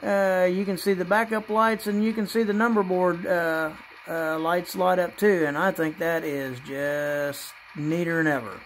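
A man casually narrating as he runs his model trains at home, pausing often with fillers and restarts. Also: high voice, slight background noise. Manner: halting, many fillers and restarts, relaxed. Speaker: model railroad hobbyist channel host. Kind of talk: casual running commentary while operating trains. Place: apartment room with model train layout.

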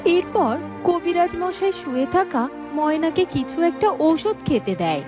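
A woman's voice: extremely high pitch, lively intonation, unhurried, over music. A woman reading scripted narration for a fairytale cartoon.

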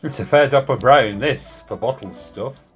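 A man's voice: medium pitch, moderate pace, slightly rough, faint music behind. An actor playing a plain-spoken, pedantic working man in a radio play, talking matter-of-factly.

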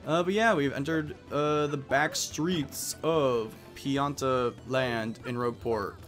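A man's voice: medium pitch, fairly even intonation, slow pace, a bit bright, faint music behind. A man giving casual commentary as he plays through a game. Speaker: let's player.

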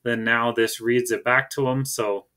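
Bright-voiced American man teaching casually. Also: mid-range voice, steady tone, a little slow. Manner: conversational, checks in with "right?". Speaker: teacher presenting a tech tutorial. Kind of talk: casual screencast tutorial for teachers.

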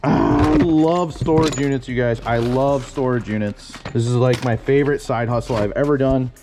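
A young man of middle pitch rummages through a storage unit, exclaiming with delight at each find. His casual, excitable chatter is caught on a head-mounted camera mic. Faint music sits under his voice. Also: normal speed. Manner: excitable, keeps exclaiming wow and singing snatches. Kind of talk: excited casual narration while unboxing.

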